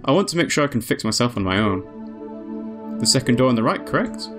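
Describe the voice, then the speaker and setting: A man's voice: mid-range voice, sing-song, fairly quick, music in the background, lightly acted. A man voicing a polite, curious young female android in a visual novel. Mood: hesitant.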